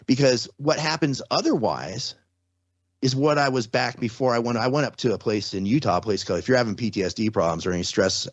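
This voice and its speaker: A man's voice: mid-range voice, a little fast, no echo, highs cut off. A man talking casually as an interview guest, explaining things with repeated, restarted phrases and frequent rhetorical check-ins. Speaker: podcast guest, trauma survivor and former security contractor.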